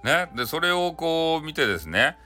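A man tells a story in an animated, bright voice with a Hakata accent. He is casual and playful, improvising chattily and adding his own sound effects. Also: on the high side, normal speed, soft background music. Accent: Hakata Japanese. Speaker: storyteller, audio channel host. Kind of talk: casual improvised folktale telling in dialect.

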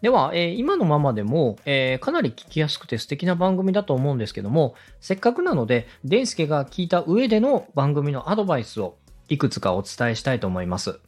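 Podcast host, man, animated pitch swings and slightly rough tone, courteous and methodical advisor. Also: quick pace, few pauses, faint music behind. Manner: polite, explanatory, methodical. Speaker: podcast host, radio director coaching a co-host. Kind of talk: structured advice talk for a podcast.

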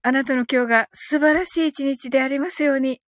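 A woman's voice: high-pitched, fairly quick, no room sound, clean studio sound. A woman hosting a casual live audio stream, chatting politely with her listeners and often breaking into giggles.

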